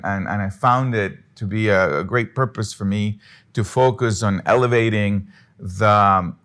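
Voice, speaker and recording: Earnest middle-aged man presenting, low voice. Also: fairly bright tone. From Colombia. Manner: earnest, restarts phrases often. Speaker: business speaker. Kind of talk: presentation to an audience.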